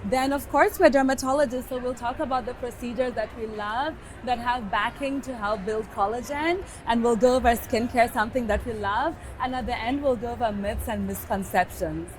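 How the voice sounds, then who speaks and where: A woman's voice: fairly high pitch, moderate pace, few pauses, rough recording. A woman from Kenya with a Kenyan accent, an upbeat dermatologist hosting a podcast recorded live at a conference. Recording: live event with background noise.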